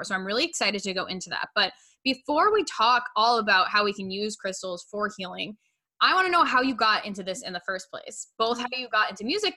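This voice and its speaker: A woman's voice: mid-range voice, pushed and bright. A woman hosting a podcast, introducing and interviewing in an upbeat, enthusiastic way. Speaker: podcast host.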